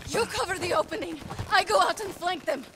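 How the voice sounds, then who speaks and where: A woman's voice: very high-pitched, brisk, heavy background noise. A woman playing a fierce, stubborn young Soviet sniper in a video game cutscene, speaking tersely with a Russian accent. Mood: desperate, urgent. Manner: terse, blunt. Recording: loud music over dialogue.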